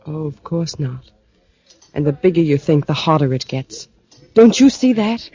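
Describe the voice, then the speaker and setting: A woman's voice: sits low, animated, moderate pace, dark tone, slightly rough, no room sound. An actress playing a cool, guarded married woman with a criminal past in an American old-time radio drama, speaking in a clipped, sardonic, world-weary way. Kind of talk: 1940s radio drama acting. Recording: dull old-time radio recording with music.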